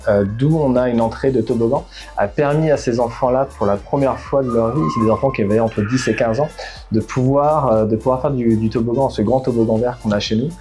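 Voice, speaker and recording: Hesitant French man, mellow voice. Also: medium pitch, a little fast, soft background music. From Bordeaux, France. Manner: hesitant, many fillers and restarts. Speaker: startup founder. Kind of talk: interview answers.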